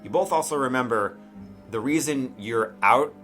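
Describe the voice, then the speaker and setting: A man improvising narration as game master of a tabletop game, conversational and halting, often checking in with the players. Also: medium pitch, a bit bright. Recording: music behind the voice.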